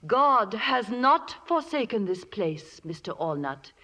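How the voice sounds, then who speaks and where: Missionary spinster, proper and pious, highly expressive. Vintage radio drama, reverberant stage sound. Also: female voice, takes it slowly, exaggerated voice. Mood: indignant. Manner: proper, genteel, earnest. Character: prim, straight-laced, devout missionary spinster. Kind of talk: 1950s radio drama acting.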